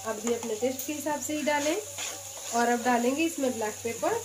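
A woman's voice: on the high side, brisk, noisy background. A woman hosting her own cooking channel, talking through each step of a recipe in a chatty, patient way from her home kitchen. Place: home kitchen by a gas stove.

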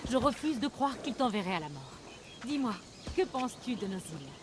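Une actrice de doublage jouant une jeune cheffe rebelle audacieuse et passionnée dans un jeu vidéo. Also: female voice, on the high side, big pitch swings, fairly quick, background noise. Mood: fervent. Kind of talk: dubbed video game acting.

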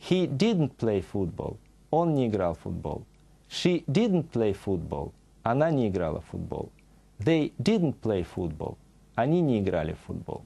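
A middle-aged man teaching on a TV studio set, reading a scripted lesson and saying example sentences slowly and carefully. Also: mid-range voice, gravelly, dark tone, breathy edge. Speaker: English teacher.

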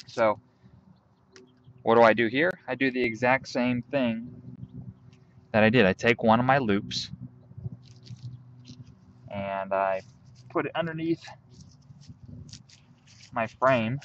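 A young man outdoors in a field, calmly walking through a hands-on tutorial step by step while he works with his hands. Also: mid-range voice, takes it slowly, long pauses, muffled recording, a little hiss or hum. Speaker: bushcraft instructor.

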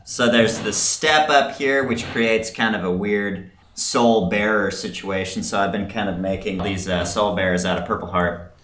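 A man's voice: lowish voice, moderate pace, echoey room, background noise. A young man, a boatbuilder, chatting in a casual, jokey way on camera from inside a wooden boat in a workshop. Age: young adult. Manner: easygoing, jokey, loose. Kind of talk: casual joking chat on camera.

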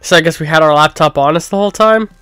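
A man's voice: fairly high pitch, fast talker, a bit bright, clean tone, no echo, faint music behind. A man hosting a game playthrough with chatty, self-deprecating asides, a bit congested from a cold. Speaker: let's play host. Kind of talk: casual gameplay commentary.

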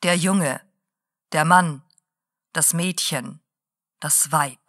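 A woman playing a late-night radio presenter who lectures in a slow, deliberate and didactic way. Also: low-pitched, a bit bright, dry recording, clean studio sound, full character voice. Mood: lecturing.

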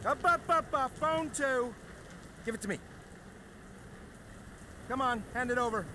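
A man's voice: very high-pitched, unhurried, bright, forceful tone, heavily acted. An actor playing a smug male hired gun in a game, with a breezy, mocking manner and casual menace. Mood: impatient ordering. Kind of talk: game cutscene acting. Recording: noisy rain over voice.

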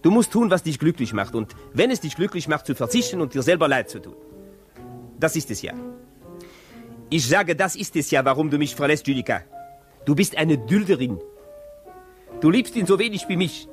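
Animated, bitter lover, higher-pitched. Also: male voice, moderate pace, long pauses, faint music behind. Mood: bitter, accusing. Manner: emphatic, reproachful. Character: bitter, lecturing lover on the telephone. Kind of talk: 1950s radio drama acting.

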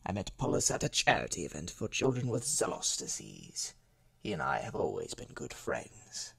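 A man voicing a bitter, hot-tempered alien houseguest in a theatrical, seething, raspy voice for an audio drama. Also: low voice, wildly swooping pitch, normal speed, fairly bright tone, no room sound, recorded from a distance, full character voice. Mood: smug, measured. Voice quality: raspy, gravelly.

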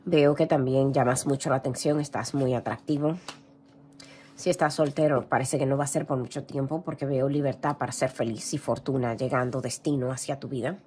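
A hoarse woman reading tarot cards in a casual, gentle way, clearing her throat often. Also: extremely low pitch, fairly even intonation, fairly quick, a little hiss or hum. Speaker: tarot reader. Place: indoor room with a tarot table. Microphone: camera over table, hands seen.

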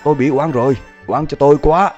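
A man voicing gruff, excitable hunters and villagers in a dubbed animated film. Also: a little high, fairly quick, lightly acted. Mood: pleading. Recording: muffled audio under music.

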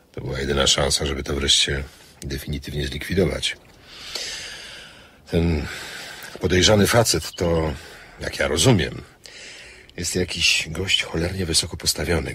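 A guarded secret operative speaks in an extremely deep voice with a forceful edge. He is measured, dry and a little patronizing, as in a dramatized crime novel, over some noise. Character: guarded man from secret counterintelligence work, stern and dry.

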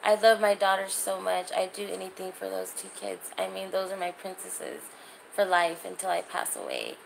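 A woman's voice: medium pitch, expressive, normal speed, creaky, slight background noise, low-quality recording. A woman in her thirties chatting casually and informally as she hosts a livestream from a small room, holding her phone at arm's length. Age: 30s. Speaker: livestream host. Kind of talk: casual livestream chat, reading and answering comments.

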